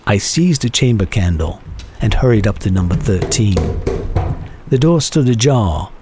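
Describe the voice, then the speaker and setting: A man giving a measured, theatrical dramatic reading of a classic short story as its narrator. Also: on the low side, expressive, background noise. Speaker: narrator, reader.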